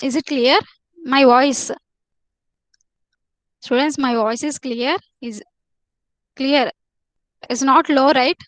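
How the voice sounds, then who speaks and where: A woman teacher leads a live online class, her voice sitting high. She repeats herself often and coaxes students to answer. The sound is dry and close, picked up by a computer mic. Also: steady tone, slow, pauses often, dry recording, rough recording. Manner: repetitive, coaxing students to answer.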